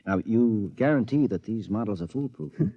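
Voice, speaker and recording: An actor playing an evasive, flustered husband who hems and hesitates, in 1950s radio drama style. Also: male voice, on the low side, animated, a little fast, gravelly, highs cut off. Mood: nervous eagerness. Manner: hesitant, distracted, hemming.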